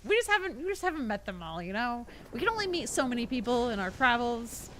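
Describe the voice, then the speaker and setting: A woman streaming a game, chatting casually with her viewers in a rambling, expressive way. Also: on the high side, fast talker, slight background noise, rough recording.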